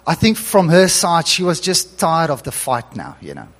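A man's voice: on the high side, wildly swooping pitch, moderate pace, a bit bright. A male pastor whose first language is Afrikaans, telling a story in an earnest sermon that builds to emphatic preaching.

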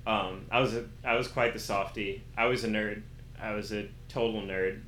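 Male interview guest, bright forceful voice, candid slangy storyteller, roomy echo. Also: fairly low pitch, normal speed. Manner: animated storytelling with acted-out quotes and slang. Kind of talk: casual candid conversation. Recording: roomy echo with faint background noise.

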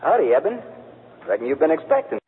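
An actor in a radio play voicing a breezy, folksy cowboy ghost with an echoing voice, on a tinny 1940s broadcast. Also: male voice, a little high, very animated, quick pace. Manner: folksy, easygoing drawling slang. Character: breezy cowboy ghost of Christmas past, then present. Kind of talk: old-time radio drama acting.

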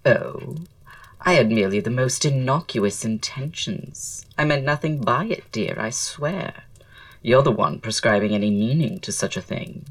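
An actor playing a witty, flamboyant Victorian writer and aesthete in an audio drama, speaking in a florid, languid, theatrical way. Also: male voice, medium pitch, expressive, unhurried, recorded from a distance. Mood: coy, teasing.

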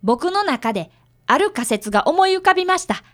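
A woman playing a bright, polite fifth-grade boy apprentice detective in a radio drama. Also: very high voice, big pitch swings, moderate pace, exaggerated voice. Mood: earnest. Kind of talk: radio drama acting with first-person narration.

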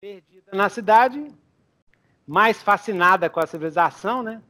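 High-voiced game master. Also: male voice, normal speed, sharp tone. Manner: rambling, improvising, chuckles often. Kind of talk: narrating a tabletop game.

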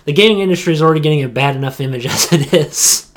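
A man's voice: fairly high pitch, fast talker. A young adult man co-hosting a podcast, chatting casually and opinionatedly, repeating phrases emphatically for stress. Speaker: podcast co-host.

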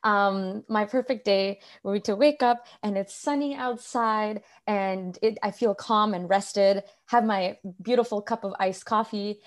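Friendly young woman, relaxed chat. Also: medium pitch, unhurried, hardly any pauses. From India. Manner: friendly, relaxed, many fillers. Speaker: podcast host. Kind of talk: casual conversation.

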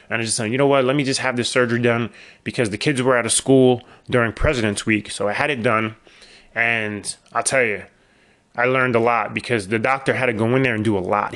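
A man's voice: medium pitch, fairly bright tone. Middle-aged New man rambling. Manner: rambling storytelling with restarts and wry asides. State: voice weakened after illness. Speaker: podcast host. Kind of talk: casual solo podcast monologue.

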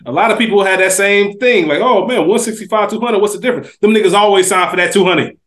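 A man's voice: high voice, quick pace, a bit bright. A man co-hosting a podcast in casual chat, slangy and emphatic. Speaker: podcast co-host.

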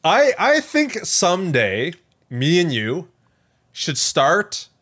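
A man co-hosting a podcast, chatting casually in an animated, playful way. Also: fairly high pitch, slow. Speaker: podcast co-host.

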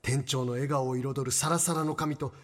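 A man's voice: medium pitch, fairly even intonation, moderate pace, close to an everyday voice. A man with a Kansai Japanese accent playing an earnest, lovestruck salaryman in a comedic radio drama, grand and a little awkward. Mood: rapturous, earnest. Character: earnest lovestruck salaryman regular at a tea shop.

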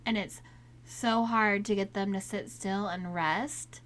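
A woman in a casual solo talk, still getting over a cold, so her voice is a little raspy at times; she speaks in a chatty, candid way. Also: mid-range voice, steady tone, slow, fairly bright tone. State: recovering from a cold, slightly raspy. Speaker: podcast host.

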